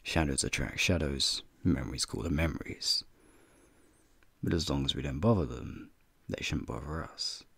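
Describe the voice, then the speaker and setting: Very deep, gravelly flirty werewolf played by a man. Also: normal speed, a bit bright, no echo, full character voice. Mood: ominous, hushed. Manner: teasing, flirtatious, folksy slang, chuckling. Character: flirty, teasing male werewolf, playful urban explorer. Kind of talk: ASMR roleplay, speaking to the listener.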